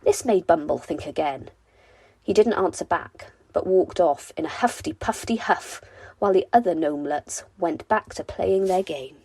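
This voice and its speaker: A woman narrating a children's bedtime story in an expressive, deliberate storytelling manner. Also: fairly low pitch, breathy edge, dry recording. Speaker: storybook reader.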